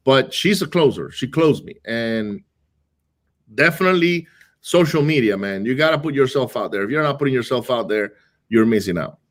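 A man from Venezuela, a business owner, talking casually in an interview, quick and animated, often restarting and repeating words. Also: medium pitch. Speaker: real estate wholesaling business owner.

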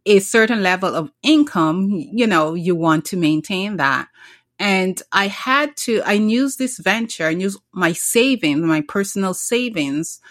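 Middle-aged woman from Barbados, earnest founder guest, lowish pitch, dry close mic. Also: fairly bright tone, no room sound. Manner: earnest, with frequent restarts. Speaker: small business founder, guest. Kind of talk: casual interview talk.

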